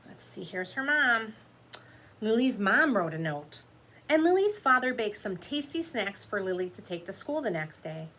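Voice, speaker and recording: A woman's voice: medium pitch, very animated, moderate pace, pushed and bright. A woman teacher reading a picture book aloud to children, expressively, with explanatory asides to her young listeners.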